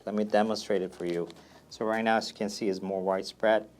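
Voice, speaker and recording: Low-pitched man explaining a product. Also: steady tone, fast talker. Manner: plain, step-by-step explaining. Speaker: company product presenter. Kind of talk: casual product FAQ demonstration.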